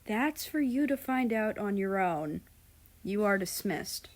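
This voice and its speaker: A woman's voice: medium pitch, animated, takes it slowly. A woman voicing a stern older king and father from a story, speaking in a formal, authoritative manner. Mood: stern, instructing.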